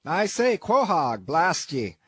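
A man voicing a gruff, blustering old sea captain who shouts his orders in old-fashioned seafaring speech. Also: fairly high pitch, very animated, slow, no echo, voice pushed up, exaggerated voice.

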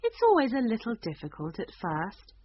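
A woman voicing a flustered, dithering but kindly queen in a storybook reading, with high, fluttery exclamations. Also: wildly swooping pitch, normal speed, slight background noise. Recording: dull lo-fi recording.

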